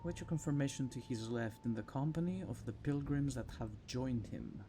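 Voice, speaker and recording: A man's voice: mid-range voice, expressive, moderate pace, background noise. A man giving casual let's play commentary, thinking aloud informally as he works through a game. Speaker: gamer playing a puzzle game.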